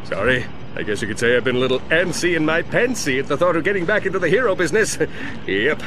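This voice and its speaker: A man's voice: a little high, animated, quick pace, big-room sound, background noise, close to an everyday voice. An actor playing a pompous, cowardly male space superhero in a video game, with a grandiose, overdramatic mock-heroic delivery. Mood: boastful. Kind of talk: animated game cutscene acting, mock-heroic.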